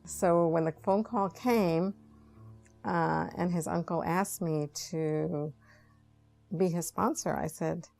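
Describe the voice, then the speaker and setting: A woman's voice: on the low side, steady tone, slow, vocal fry. A woman speaking reflectively in a documentary interview about someone she sponsored. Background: soft music.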